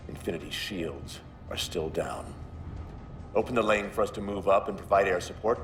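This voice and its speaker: A man playing a gruff, arrogant older starship captain in a sci-fi game, commanding and brusque. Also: low-pitched, moderate pace. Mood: stern, urgent. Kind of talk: cinematic sci-fi game dialogue. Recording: music behind, large reverberant space.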